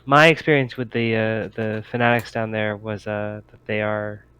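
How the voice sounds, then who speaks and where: A man's voice: on the low side, little pitch movement, normal speed, bright, forceful tone, clean tone, lo-fi sound. A man voicing an easygoing, slightly perplexed spaceship contact in a sci-fi tabletop game, reasoning hesitantly aloud. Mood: cautious, advising.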